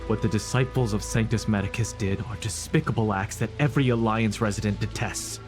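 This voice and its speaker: An actor playing a stern, embittered soldier in a fantasy video game, speaking formally and gravely. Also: male voice, on the low side, fairly even intonation, a little fast, lightly acted. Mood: anguished, vengeful. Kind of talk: video game character acting. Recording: loud music behind.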